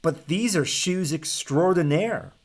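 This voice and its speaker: Man acting an eager, high-pitched storybook shoemaker. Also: slow. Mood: cheerful, confident. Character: eager, proud, cheerful male shoemaker hero.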